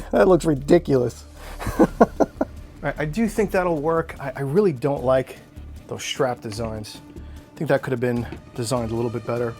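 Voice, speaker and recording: A man's voice: medium pitch, moderate pace, a little mellow, faint music behind. A man hosting an unboxing video, chatting casually and enthusiastically in an unscripted product review style. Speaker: collectibles unboxing video host.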